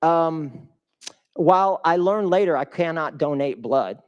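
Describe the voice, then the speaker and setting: A man's voice: on the high side, expressive, unhurried, smooth, dull audio. A man giving a live motivational fundraiser speech as a guest speaker, emphatic and putting questions to the audience. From a developing country.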